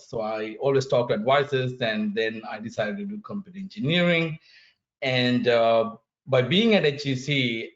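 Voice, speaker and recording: Man from Sri Lanka, halting panel answer. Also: mid-range voice, dull audio. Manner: halting, many fillers and restarts. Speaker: transfer student panelist.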